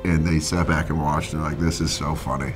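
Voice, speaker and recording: Male talking head, very low voice, deadpan mock expert, clear music underneath. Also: a little fast. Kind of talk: mock-documentary interview.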